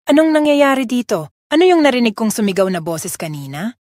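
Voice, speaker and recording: A woman's voice: on the high side, big pitch swings, fairly quick, darkish tone. A woman voicing an older female housekeeper who fusses anxiously over the girl in her care. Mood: worried. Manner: anxious, fretful questioning. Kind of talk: Tagalog audio drama acting.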